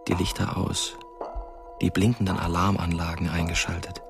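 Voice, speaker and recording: A man playing a brooding teenage ghost, narrating a radio play in a measured, quiet, intimate way. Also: sits low, level tone, vocal fry, over music. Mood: watchful.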